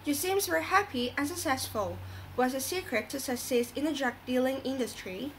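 A woman's voice: sits high, lively intonation, normal speed, slight background noise, rough recording. A woman playing an earnest, moralizing talk show host in a scripted radio drama, reading didactically.

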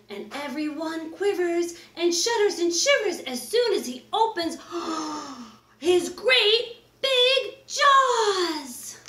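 Middle-aged lady doing storytime for little kids, super expressive, takes her time, roomy echo. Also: high-pitched. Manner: exaggerated, expressive, with gasps and drawn-out words. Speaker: teacher reading a picture book to young children. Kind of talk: animated children's story read-aloud. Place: small wood-paneled room indoors. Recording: roomy, echoing indoor recording.

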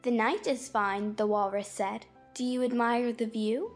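A woman's voice: a little high, steady tone, unhurried, faint music behind, close to an everyday voice. A woman playing an agreeable storybook twin brother who recites nursery verse. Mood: storytelling. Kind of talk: dramatized audiobook acting.

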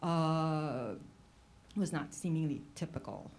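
Middle-aged woman interviewing, hesitant pauses. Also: sits low, a little mellow. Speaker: writer, on-stage interviewer. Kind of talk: on-stage interview. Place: seated on an auditorium stage.

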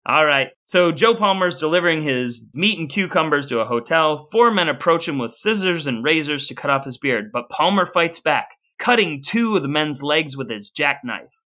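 Bright-voiced American man telling stories. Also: on the high side, animated, moderate pace. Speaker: podcast co-host. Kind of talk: casual podcast storytelling and chat.

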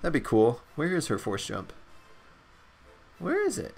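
Raspy, stuffed-up excitable male gamer. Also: on the high side, unhurried, faint music behind. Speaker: let's play host. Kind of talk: gameplay commentary.

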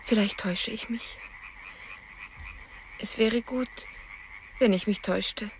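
Radio play lover: young female, intimate, hesitant, anxious, slow delivery, faint noisy night of croaking frogs. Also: mid-range voice. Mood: anxious, wistful. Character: anxious, tender young woman in love.

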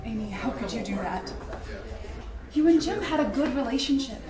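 Animated, fairly high voice: a woman acting as an anxious, protective widow who talks her partner down. The pitch rises and falls a lot in a roomy room, with score playing. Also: moderate pace, over loud music, close to an everyday voice. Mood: indignant.

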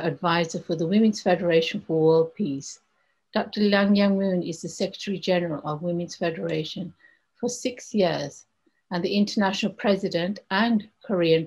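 A middle-aged woman moderating an online conference, speaking appreciatively and haltingly in informal introductions over a video call. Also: on the low side, a little mellow, husky, rough recording. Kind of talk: hesitant informal introductions and thanks. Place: indoors on a video call, virtual backdrop. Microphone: video call microphone.

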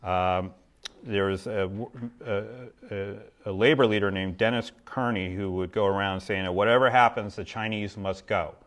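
A man's voice: lowish voice, steady tone, moderate pace. A man giving a history talk at a podium in a lecture hall, then taking audience questions, with a conversational manner and frequent restarts.

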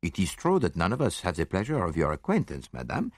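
A man voicing a shrewd, courteous detective in a mystery audiobook, theatrical and gently mocking. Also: low-pitched, huge pitch swings, fast talker, slightly rough, dry recording, clean studio sound, full character voice. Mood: suave mockery. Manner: courteous, mildly reproving, theatrical.

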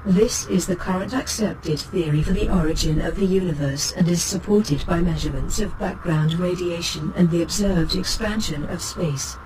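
Actor doing a calm ship computer ai, formal encyclopedia readout, measured and exact, clear background noise. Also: medium pitch, lightly acted. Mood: calm, informative. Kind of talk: scripted encyclopedic narration. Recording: clear noise, spaceship ambience behind.

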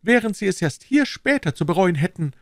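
A man voicing an excitable, servile innkeeper with a high, eager, fawning way of talking. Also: brisk, dry recording, studio quality.

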